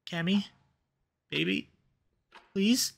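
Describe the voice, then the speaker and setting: A man's voice: sits high, drawn-out words, creaky, slight background noise, voice pushed up, heavily acted. A man voicing an anxious, exhausted young man in a visual novel, with dramatic, emotive, pleading delivery. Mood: panicked, pleading. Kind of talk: visual novel read-aloud.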